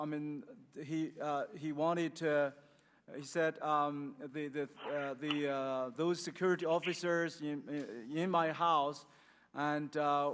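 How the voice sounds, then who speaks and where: Male interpreter, Chinese accent, slightly high voice, halting delivery, long room reverb. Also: fairly bright tone. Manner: halting, hesitant. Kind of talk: live consecutive interpreting. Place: large indoor hearing room.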